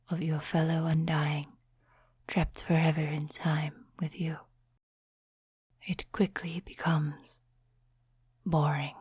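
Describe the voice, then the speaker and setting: A woman voicing an ancient, seductive demon in whispered ASMR roleplay, slow, teasing and condescending, with a breathy voice close to the microphone. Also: low voice, darkish tone, no room sound, exaggerated voice. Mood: bored, wry. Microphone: close, dry microphone.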